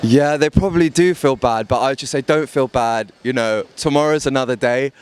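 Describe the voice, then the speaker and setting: A man's voice: mid-range voice, a little fast, clean tone. A man with a London English accent casually offering advice in a street interview.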